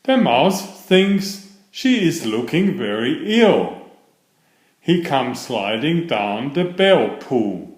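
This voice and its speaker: Slow, lively male storyteller in a roomy space. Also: mid-range voice. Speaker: storyteller reading children's picture books. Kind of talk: scripted children's story narration. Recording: roomy echo on the voice.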